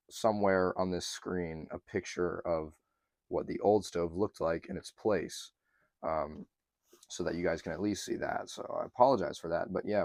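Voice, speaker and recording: Guy for a diy van vlog, very low, a bit hoarse, dry close sound. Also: no room sound. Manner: relaxed, chatty, many ums and throat clearing. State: slightly hoarse, losing his voice. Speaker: van life vlogger. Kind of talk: casual how-to narration to camera.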